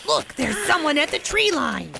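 A woman voicing a wisecracking talking animal companion in a radio play, with creaky tone and dry, sardonic quips. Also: high-pitched, very animated, normal speed, background noise, full character voice. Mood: alarmed. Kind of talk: theatrical radio drama acting.

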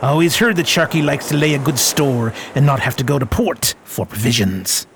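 A man playing a matter-of-fact crewman in a pirate radio drama, with a creaky voice. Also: medium pitch, fairly quick, slight background noise, natural voice. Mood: reporting. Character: matter-of-fact male crewman reporting to captain.

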